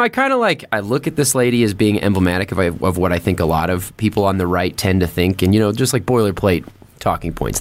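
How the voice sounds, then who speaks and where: Lowish-voiced man, swooping, blunt and profane. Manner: blunt, heavily profane, slangy. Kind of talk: casual chat on a podcast.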